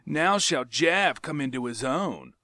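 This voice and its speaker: A man's voice: a little high, expressive, takes it slowly, bright, forceful tone, no room sound, clean studio sound, voice pushed up, heavily acted. A man voicing a raging, mocking male tyrant king who screams and sneers. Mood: furious.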